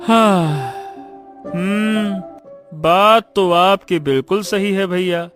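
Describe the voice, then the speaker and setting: A man's voice: sits high, slow pace, a bit bright, faint music behind. A man playing a curious, eager younger brother from a poor village in a cartoon story, full of questions. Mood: puzzled. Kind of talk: Hindi cartoon story dialogue.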